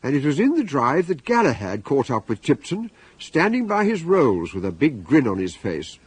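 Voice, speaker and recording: A man's voice: mid-range voice, huge pitch swings, normal speed, slightly rough. A man with a British accent giving wry scripted narration as the storyteller of a radio comedy. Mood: wry, narrating.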